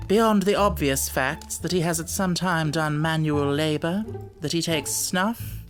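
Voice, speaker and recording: Measured male audiobook narrator. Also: fairly high pitch, fairly bright tone. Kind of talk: scripted literary narration.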